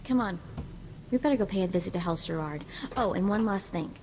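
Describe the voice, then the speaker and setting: An actress playing a chatty, cheerful young sidekick in a video game, talking in a casual, breezy way. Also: female voice, medium pitch, lively intonation, brisk, mellow, a little hiss or hum, natural voice. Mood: briefing, casual. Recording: background music under the voice.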